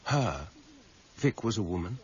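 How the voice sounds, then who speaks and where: An actor in a radio drama playing a grave, determined police superintendent who speaks in a measured, probing way. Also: male voice, lowish voice, sing-song, breathy edge, no echo, dull audio, full character voice. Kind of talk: 1990s radio drama acting.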